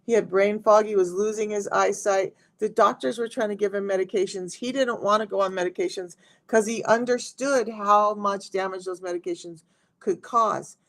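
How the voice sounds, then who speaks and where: Woman, middle-aged, raspy and gravelly, mid-pitched; chatty live-stream health coach talking to viewers. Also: steady tone, moderate pace. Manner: chatty, talks straight to viewers. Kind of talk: casual live-stream talk.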